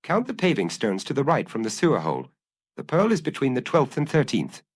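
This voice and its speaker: A man voicing a dejected, fearful murderer in an audiobook, dull, halting and desperate. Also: mid-range voice, huge pitch swings, slightly rough, no echo, pitched above the performer's own voice. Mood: anxious. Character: dejected, fearful murderer selling his secret. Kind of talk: audiobook character acting.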